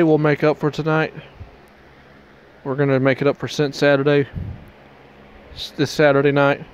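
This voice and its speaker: A man's voice: mid-range voice, clear, resonant tone, dull audio. A young man with a headset mic, rambling casually as he wraps up a game stream in a small bedroom. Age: young adult. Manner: rambling, loose restarts, Southern y'all. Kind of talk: casual stream outro to camera.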